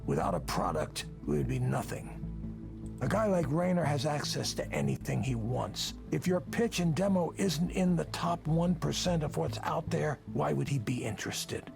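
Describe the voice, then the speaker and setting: An actor playing a persuasive, defensive tech project leader in a visual novel, speaking emphatically and theatrically in self-justification. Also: male voice, on the high side, expressive, moderate pace, vocal fry, music in the background. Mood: defensive, indignant.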